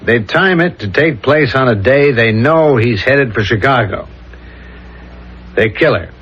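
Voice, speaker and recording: An actor playing a dogged, methodical police inspector in a 1970s radio drama, questioning and reasoning aloud. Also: male voice, medium pitch, lively intonation, slightly rough. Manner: probing, deliberate, methodical questioning. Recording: dull lo-fi old radio recording.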